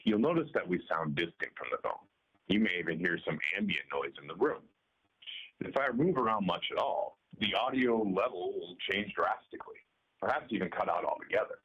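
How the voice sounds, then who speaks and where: A man's voice: low-pitched, lively intonation, a little fast, a bit bright, breathy edge, dull audio. A middle-aged man giving a scripted demonstration, picked up distantly by a cell phone speakerphone. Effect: cell phone speakerphone sound. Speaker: presenter. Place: small meeting room, indoors.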